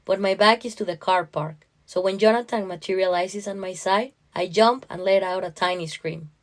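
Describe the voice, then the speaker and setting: Woman, medium pitch, scripted story narration, first person, expressive and dramatic. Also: normal speed.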